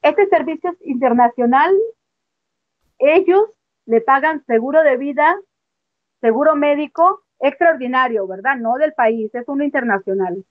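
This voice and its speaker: A woman's voice: high voice, measured pace, dry recording. A woman giving frank, emphatic testimony as an interview guest over a video call. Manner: frank, emphatic, laughs off sensitive points. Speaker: guest, former member. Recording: dull, dry call audio.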